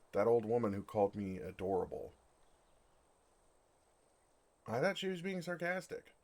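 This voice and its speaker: A man voicing an ancient, gentle and oblivious dragon in an ASMR roleplay, with a halting, bewildered and earnest delivery. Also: on the low side. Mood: flustered disbelief.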